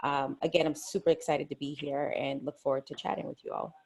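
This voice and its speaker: A woman's voice: low voice, little pitch movement, brisk, darkish tone, slightly rough. A woman introducing herself as a webinar panelist. She sounds friendly and a little self-deprecating. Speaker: panelist, diversity lead.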